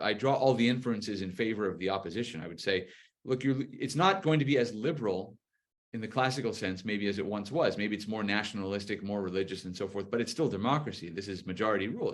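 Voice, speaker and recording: A man with a lowish voice speaks as a political commentator in a live conversation. He is articulate and explanatory, building an argument point by point in a conversational style.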